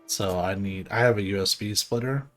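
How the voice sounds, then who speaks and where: Low-voiced man, casual game streamer. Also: normal speed, a bit bright. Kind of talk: casual live game commentary. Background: faint game music.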